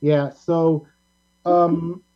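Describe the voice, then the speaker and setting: A middle-aged man hosting a casual panel discussion, talking in a rambling, halting way. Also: a little high, little pitch movement. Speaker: talk show host. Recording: muffled lo-fi audio with mains hum.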